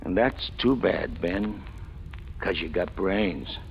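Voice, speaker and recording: Gravelly, low-voiced old-radio hoodlum. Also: male voice, slow pace, full character voice. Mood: confiding, amused. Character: talkative, self-deprecating ex-convict stick-up man, cheerfully fatalistic. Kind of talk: 1940s-50s radio crime drama acting. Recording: muffled, hissy old radio recording.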